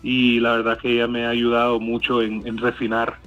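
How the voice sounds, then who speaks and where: A man's voice: mid-range voice, monotone, moderate pace, fairly bright tone, soft background music. Hesitant man with Cuban-Colombian accent. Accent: Cuban Spanish softened by Colombian. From Miami, USA. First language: English. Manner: hesitant, many fillers and restarts. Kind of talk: interview answers.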